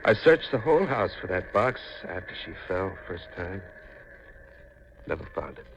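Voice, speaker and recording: Haunted seafaring man, low-voiced, brooding and folksy; 1950s radio drama, noisy recording. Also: very animated, moderate pace, creaky, exaggerated voice. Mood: brooding, insistent.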